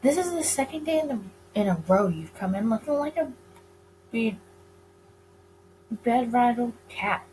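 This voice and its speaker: A nosy, excitable police boss played by a woman in a visual novel game. He prods and exclaims, his voice jumping up high. The recording has noise behind it. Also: unhurried, long pauses, poor audio. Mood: mock concern. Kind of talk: visual novel character reading. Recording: clear background noise.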